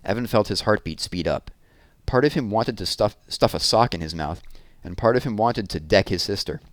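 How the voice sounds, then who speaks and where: Animated low-voiced man reading a story. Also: normal speed, dry recording. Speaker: read-aloud narrator. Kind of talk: expressive storybook narration.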